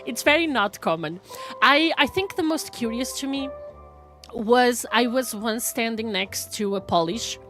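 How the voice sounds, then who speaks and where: A talkative woman streamer with a Portuguese accent and a slightly high voice, chatting with her viewers. She laughs a lot and trips over her words. Soft music plays faintly underneath. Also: expressive, unhurried. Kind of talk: casual live-stream chat.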